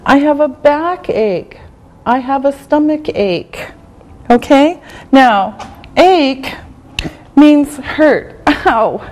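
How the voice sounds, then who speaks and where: High-pitched lady teacher drilling english phrases super slow, patient and clear. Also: animated, a little mellow. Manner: clear, carefully spaced enunciation. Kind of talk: classroom English lesson for learners.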